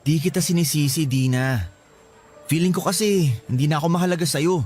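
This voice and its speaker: A man's voice: a little high, brisk, a little mellow, soft background music, close to an everyday voice. A man acting as an earnest young student boyfriend, pleading and insecure, in a Filipino radio romance drama with a Filipino accent. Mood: hurt, pleading.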